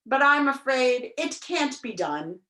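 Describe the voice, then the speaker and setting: A woman's voice: sits high, big pitch swings, takes it slowly, sharp tone, roomy sound, voice pushed up, exaggerated voice. A woman voicing a regal, declamatory storybook king who is flustered and melancholy. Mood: wistful.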